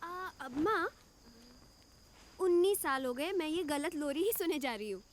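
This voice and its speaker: Feisty young village woman, very high-pitched. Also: animated, measured pace, slight background noise. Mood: teasing. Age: young adult. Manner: brash, informal, slangy. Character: feisty, foul-mouthed nineteen-year-old village daughter, quick to tease. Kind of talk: naturalistic screen drama acting.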